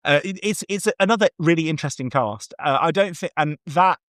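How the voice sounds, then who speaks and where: A man's voice: a little high, fairly quick, fairly bright tone, no room sound, clean studio sound. A man talking casually and enthusiastically as a guest on a review podcast, effusive in his opinions.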